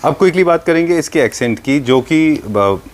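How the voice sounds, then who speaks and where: A man's voice: a little high, expressive, moderate pace. A man with an Indian accent hosting a conversational showcase, explaining to viewers and mixing Hindi with English as he addresses them directly. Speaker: host of a furniture showcase show.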